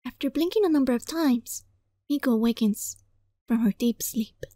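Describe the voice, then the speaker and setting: A woman reading a visual novel aloud as a let's play, dramatic and playful, in a giggly, flustered, excitable manner. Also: high-pitched, normal speed, dry recording, studio quality. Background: music.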